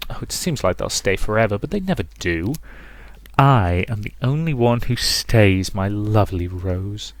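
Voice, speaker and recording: A man voicing a possessive, sinister ghostly mother in a visual novel, slow, drawn-out and cloying. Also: on the low side, dry recording.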